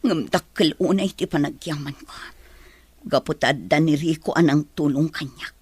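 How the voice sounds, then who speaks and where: Babaeng gumaganap na mahigpit at nasaktang ina. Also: female voice, low-pitched, moderate pace, darkish tone, slightly rough, no room sound. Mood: stern. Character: stern mother visiting in prison, wounded. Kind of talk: radio drama acting.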